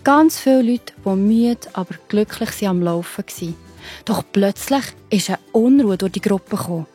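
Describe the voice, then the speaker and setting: A woman's voice: mid-range voice, lively intonation, moderate pace, warm tone, husky. A woman with a Swiss German accent telling a story to children, explaining it simply and expressively. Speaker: narrator. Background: music.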